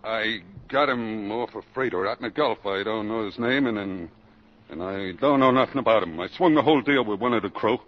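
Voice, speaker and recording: An actor playing a surly, tough sailor who talks in gruff, rough slang in a 1950s radio drama. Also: male voice, lowish voice, fairly quick, close to an everyday voice. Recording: dull old radio broadcast recording.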